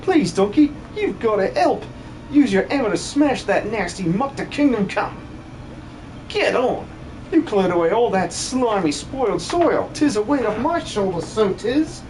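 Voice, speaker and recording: A man's voice: high-pitched, big pitch swings, a little slow, darkish tone, a little hiss or hum, low-quality recording. Man putting on an eager, swooping country villager. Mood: pleading, grateful. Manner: folksy, exclamatory, effusive. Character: eager, chirpy country villager tending a river. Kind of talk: hobby video game dub.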